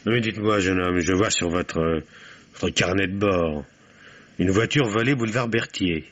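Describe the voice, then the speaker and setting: An actor playing a sardonic middle-aged police commissioner in a French radio mystery, with a dry, ironic delivery. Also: male voice, low-pitched, moderate pace, fairly bright tone. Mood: questioning. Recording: muffled vintage radio recording.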